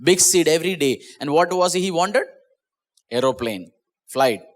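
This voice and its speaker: A male pastor with an Indian English accent and Malayalam as his first language, preaching an online sermon from a small church. His voice sits fairly high for a man. He speaks earnestly, restarting and repeating words, and lets his pitch fall at the ends of phrases. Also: animated, unhurried.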